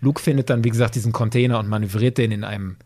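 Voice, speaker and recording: A man's voice: on the low side, steady tone, rapid-fire, noisy background. A man chatting informally on a podcast, retelling a story and checking in with his partner. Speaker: podcast co-presenter.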